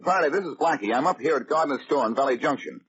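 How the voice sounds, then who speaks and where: An actor playing a brash, confident young amateur detective with a glib, sardonic American delivery in a 1940s radio drama. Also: male voice, medium pitch, lively intonation, very fast, a bit bright, close to an everyday voice. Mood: brisk, insistent. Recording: muffled, noisy old radio recording.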